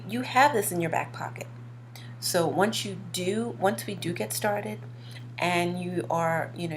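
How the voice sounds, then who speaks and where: A woman instructor with a slightly hoarse voice gives an informal promotional talk, conversational and self-correcting, repeating words for emphasis. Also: lowish voice, slight background noise. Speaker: fashion course instructor.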